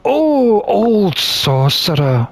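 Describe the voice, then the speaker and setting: A man reading a visual novel aloud as a gamer, expressive and theatrical, with drawn-out phrasing. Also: high-pitched. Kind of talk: expressive read-aloud of game text.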